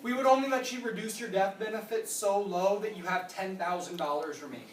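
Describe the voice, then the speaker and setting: A trainer in their thirties gives a product training talk to a room and answers questions with quick yeses and nopes. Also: mid-range voice. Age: 30s. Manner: explanatory, answers questions with quick "nope" and "yep". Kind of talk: training presentation with Q&A. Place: conference room. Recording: roomy, distant mic with faint noise.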